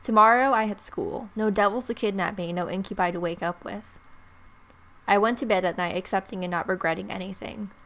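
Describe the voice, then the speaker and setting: A woman's voice: mid-range voice, fairly even intonation, a little fast, fairly bright tone. A young adult woman hosting a let's play, reading visual novel text aloud expressively with casual, sarcastic reactions. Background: game music.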